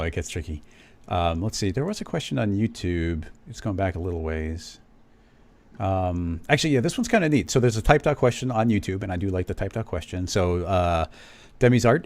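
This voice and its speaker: Low-voiced relaxed livestream host. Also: male voice. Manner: relaxed, reads out viewer questions. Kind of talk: livestream talk show.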